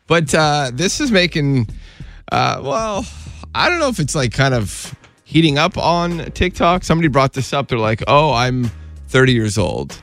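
Late-thirties male host, playful and animated, faint music bed. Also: mid-range voice, moderate pace. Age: 30s. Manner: laughing, playful, quizzing. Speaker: radio host. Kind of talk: casual morning radio banter.

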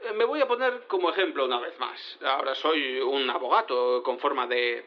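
An actor playing a flustered quantum cat turned lawyer, rambling and hesitant in a comic audio drama courtroom. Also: male voice, medium pitch, moderate pace, highs cut off, close to an everyday voice. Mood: excitable, rambling. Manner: hesitant, stammering, excitable, rambling.

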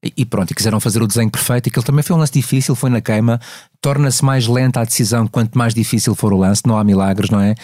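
A man with a European Portuguese accent explains football decisions on a podcast. He talks fast in a medium-pitched voice, teaching point by point in a conversational way. The sound is dry, with no room around it. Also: steady tone, mellow, dry recording. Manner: didactic, poses and answers own questions. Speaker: refereeing analyst on a podcast.

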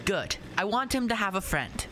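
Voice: mid-range voice, big pitch swings, normal speed, natural voice. An actor playing an earnest, curious and stubborn little boy in a radio play, speaking in blunt, literal childlike answers over noisy ambience. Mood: stubborn, matter-of-fact. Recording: noisy outdoor ambience, boat sounds behind.

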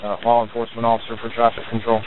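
Guy running a fire scene on radio, fast terse orders, tinny sound w some static. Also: medium pitch, completely flat delivery. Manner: clipped, procedural commands. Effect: two-way radio. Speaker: fire incident commander. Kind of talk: terse emergency radio traffic. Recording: noisy narrowband fire radio traffic.

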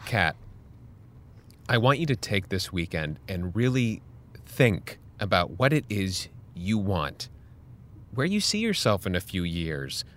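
A concerned, firm male high school counselor in an audio drama. His voice sits on the low side with very varied intonation, and he presses his point in a measured, earnest way. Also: a bit bright. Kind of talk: scripted audio drama dialogue.

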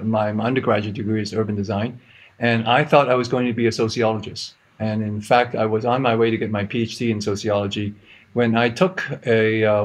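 A man in his fifties from Hong Kong with an American accent, a guest answering interview questions in a halting way, with many restarts and repeated words. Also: fairly low pitch. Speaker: guest, research institute CEO.

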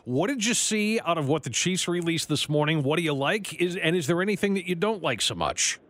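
A man's voice: on the high side, animated, brisk, fairly bright tone. A man with an American accent co-hosting a talk radio show, bantering and interviewing.

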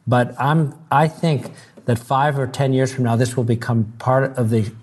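Man, doctor, interview guest, earnest, stammers and repeats words, mid-pitched voice. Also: steady tone, moderate pace, a little mellow, slightly rough. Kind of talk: conversational interview.

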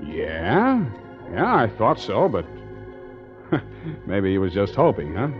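An actor playing a tough, wary American sea captain and narrator in a 1940s radio drama, with a clipped, wry delivery. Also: male voice, lowish voice, wildly swooping pitch, takes it slowly, full character voice. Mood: wry, probing. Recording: dull old radio recording, organ music.

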